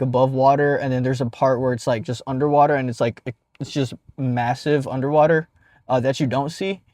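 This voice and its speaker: A man's voice: medium pitch, darkish tone, no room sound, clean studio sound. A young man with a stutter telling a casual personal story as a vlogger, with many fillers and restarts.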